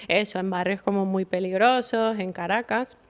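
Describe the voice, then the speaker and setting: A woman from Venezuela with a Venezuelan accent, a psychologist guest on a podcast, talking reflectively and thinking aloud with many fillers and pauses. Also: medium pitch, fairly even intonation, muffled recording. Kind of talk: casual podcast conversation.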